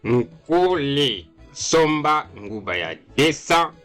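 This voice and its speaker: A man voicing a bossy cartoon character with an emphatic, deliberate delivery. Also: a little high, sing-song, a bit bright, faint music behind, full character voice.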